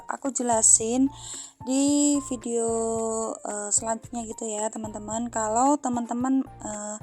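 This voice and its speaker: A woman's voice: a little high, mellow. A woman from Central Java with a Javanese accent, chatting casually to viewers as a housewife vlogger while washing dishes at home. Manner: chatty, many fillers, often says "friends". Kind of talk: casual vlog narration while doing chores. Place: wooden house in a village.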